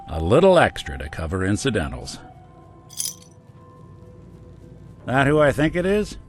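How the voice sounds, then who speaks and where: An actor voicing a weary, wry, good-hearted middle-aged robot private detective in a video game, with an American accent and deadpan delivery. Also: male voice, medium pitch, natural voice. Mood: sincere, musing. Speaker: companion character in a video game.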